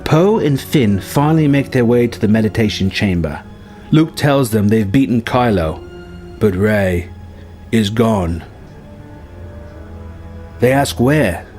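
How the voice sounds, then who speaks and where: A man telling a film story as a narrator, with a dramatic delivery and pauses for suspense. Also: fairly low pitch, expressive, darkish tone. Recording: music bed under the voice. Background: music.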